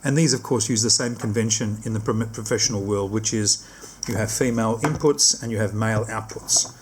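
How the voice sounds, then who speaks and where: A man's voice: on the low side, a little fast, noisy background. A man giving a casual hands-on product demonstration, explaining step by step and often rephrasing his instructions.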